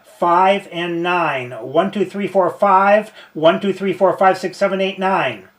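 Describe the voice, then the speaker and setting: A man working a ham radio contact, slowly spelling his call sign in phonetics. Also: high voice, background noise. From United States.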